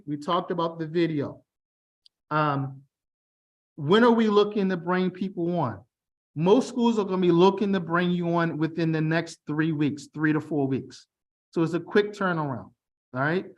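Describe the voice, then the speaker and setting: A middle-aged man from Baltimore with a mostly standard American accent and a slight Baltimore tinge, giving an online information session in a direct, conversational way and often checking in with "okay?" and "all right?". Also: sits high. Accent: General American, mild Baltimore. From Baltimore, United States. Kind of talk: online presentation. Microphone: video call.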